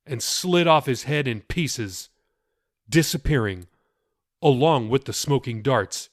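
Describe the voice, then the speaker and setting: A man narrating his own novel in a measured, expressive storytelling voice. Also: medium pitch, no echo, studio quality. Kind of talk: audiobook narration.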